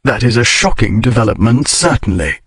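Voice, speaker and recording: A man voicing a wry, devoted aristocratic gentleman in an audiobook, with understated, formal speech. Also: mid-range voice, expressive, normal speed, slightly rough, dry recording, voice pushed down. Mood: firm. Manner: dry, understated period speech. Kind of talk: audiobook character reading.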